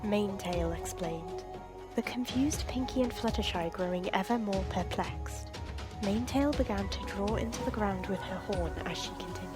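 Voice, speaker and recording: A woman's voice: mid-range voice, moderate pace. A woman reading scripted story narration as the narrator. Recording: music bed behind narration.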